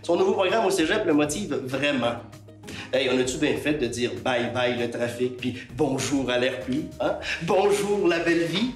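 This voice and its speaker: A man with a Québécois accent delivering an upbeat, teasing scripted promotional pitch. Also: expressive, normal speed. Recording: roomy echo with music behind.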